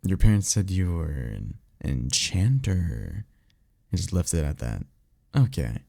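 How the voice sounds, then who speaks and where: Man playing a low, gravelly vampire mentor. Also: sing-song, a little slow, warm tone, no echo, studio quality, heavily acted. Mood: incredulous, exasperated. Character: blunt, sarcastic male vampire acting as magic mentor. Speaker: voice actor. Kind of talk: ASMR roleplay audio drama, one-sided conversation.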